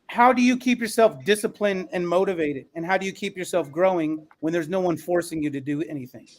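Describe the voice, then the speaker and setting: A man's voice: sits high, fairly quick. A man hosting and moderating an online panel discussion, speaking in an articulate, rhetorical way. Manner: articulate, rhetorical, emphatic listing. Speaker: podcast host and moderator. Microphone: online call.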